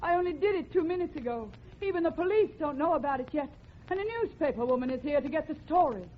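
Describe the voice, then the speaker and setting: High-voiced theatrical murderous housewife. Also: female voice, expressive, fast. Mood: brisk, matter-of-fact. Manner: theatrical, overwrought. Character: melodramatic housewife murderess, breezy then distraught. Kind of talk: 1940s radio comedy acting. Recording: dull 1940s radio recording, audience, hiss.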